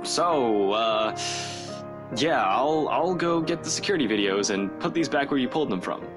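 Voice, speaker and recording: A man's voice: medium pitch, animated, normal speed, natural voice. Man acting a jittery, blustering investigator over music. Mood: awkward bluster. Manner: stammering, sardonic, swearing. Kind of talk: visual novel game voice acting. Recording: music behind the voice.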